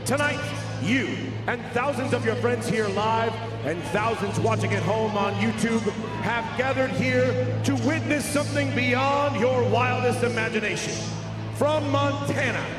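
Brief: male stadium announcer, high and slow, grand hype delivery, distant echoing PA with loud music. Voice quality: slow, dramatic, drawn-out delivery. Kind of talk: booming hype introduction over PA. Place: large outdoor stadium. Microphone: public address system heard from far.

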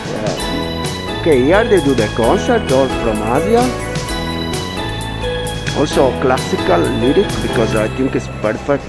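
Excitable Italian man, lively pitch. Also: unhurried, pauses often. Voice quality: excitable, mixing Italian exclamations into broken English. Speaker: family vlogger. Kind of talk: casual walking tour commentary. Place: large indoor shopping mall. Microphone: handheld action camera. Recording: loud background music over the voice.

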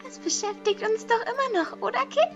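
A woman's voice: very high voice, a little fast, gravelly, over music. A woman playing a playful, childlike teenage girl in an anime audio drama, with a naive, curious, singsong manner.

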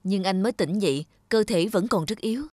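A woman voicing a caring middle-aged male doctor, earnest and reassuring, in an animated fairy tale. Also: medium pitch, fairly quick, dry recording, studio quality, lightly acted. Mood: concerned, reassuring.

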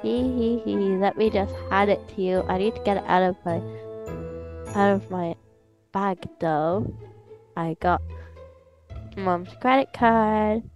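A woman voicing a scheming, excitable older child in an improvised roleplay, talking in exclamations and conspiratorial rambles. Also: medium pitch, slow, pauses often, muffled recording. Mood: excited, sneaky. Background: music.

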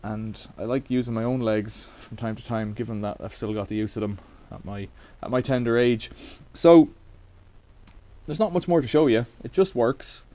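A man's voice: mid-range voice, moderate pace, mellow. A middle-aged man casually demonstrating a bike repair in a cluttered workshop, rambling with wry asides.

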